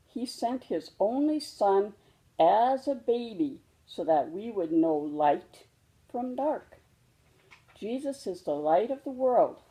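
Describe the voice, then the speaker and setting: A woman voicing a gentle, patient mother bear who explains things reassuringly in a children's storybook. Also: medium pitch, expressive, slow pace, poor audio. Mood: awed, tender. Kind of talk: children's storybook read-aloud.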